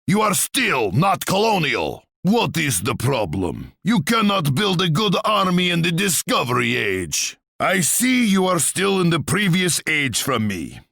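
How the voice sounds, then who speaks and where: Evil russian tsar for a strategy game, super dramatic swoopy delivery, raspy, pompous, wrathful and gloating. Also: male voice, fairly high pitch, very animated, unhurried, hardly any pauses, fairly bright tone, no echo, clean studio sound, exaggerated voice. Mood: scornful, imperious. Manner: pompous, menacing, sardonic, savouring words. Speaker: video game voice actor. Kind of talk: theatrical strategy game taunts.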